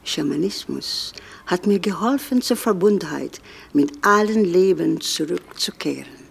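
Woman with a husky, gravelly voice reads her own poem slowly and meditatively; lower register. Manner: slow, meditative reading. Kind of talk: reading her own autobiographical poem and text.

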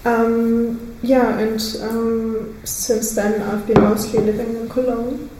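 A woman's voice: on the high side, level tone, takes it slowly. A woman giving a personal account in an interview, hesitant and searching for her words. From born in Russia, moved to Germany at ten. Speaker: peace activist interviewee. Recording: very echoey, distant, faint noise.

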